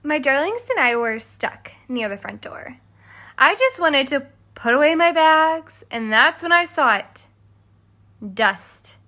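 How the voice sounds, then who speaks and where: A woman's voice: sits high, big pitch swings, slow pace, pushed and bright, heavily acted. A woman reading a children's storybook as a haughty, exasperated stepmother who tells her side with dramatic emphasis. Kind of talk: scripted children's storybook read-aloud.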